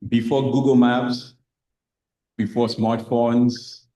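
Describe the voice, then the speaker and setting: A man hosting an interview, reading his introduction and asking questions in a measured way. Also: mid-range voice, level tone, dark tone, reverberant, highs cut off. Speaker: host.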